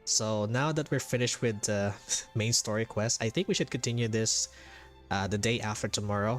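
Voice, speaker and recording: A man giving casual livestream commentary as a game streamer, speaking haltingly and repeating phrases for emphasis. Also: on the low side. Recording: game music behind the voice. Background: game music.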